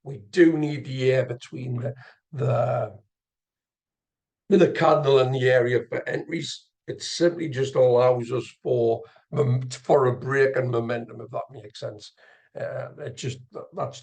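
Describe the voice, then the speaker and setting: A man giving casual live commentary as an instructor, gravelly and husky, sometimes muttering to himself as he explains. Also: medium pitch, fairly even intonation, measured pace, darkish tone. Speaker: trading instructor and video host. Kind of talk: casual live screen-share commentary on chart trading.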